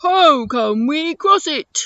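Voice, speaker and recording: A woman voicing a big, eager storybook elephant who calls out in a drawn-out, effortful way as he tries to keep up. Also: high voice, expressive, a bit bright, dry recording, dull audio, voice pushed up, full character voice. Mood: puzzled, plaintive.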